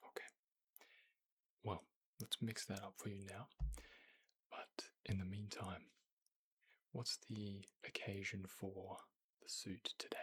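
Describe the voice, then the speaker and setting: A man playing a courteous, attentive boutique tailor in an ASMR roleplay, murmuring and whispering gently, politely and without hurry. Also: sits low, little pitch movement, slow, long pauses, full character voice. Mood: gentle, attentive. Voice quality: breathy, whispery. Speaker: ASMR performer.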